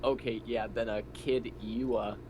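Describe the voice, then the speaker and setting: A man playing a tabletop roleplaying game, talking casually and haltingly, partly in character. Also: medium pitch, little pitch movement, darkish tone. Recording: noisy home mic.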